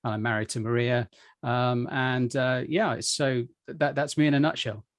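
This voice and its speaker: A man's voice: medium pitch, fairly even intonation, unhurried, no echo. Upbeat chatty man, dry close sound. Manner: upbeat, rambling with many fillers and restarts. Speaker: podcast guest. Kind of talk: conversational interview answers.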